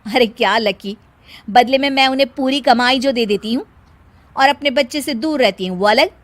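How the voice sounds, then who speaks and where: A woman's voice: fairly high pitch, dry recording, natural voice. A woman voicing a harried young daughter-in-law. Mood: defensive. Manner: exasperated, quick replies. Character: young working daughter-in-law, busy, defensive.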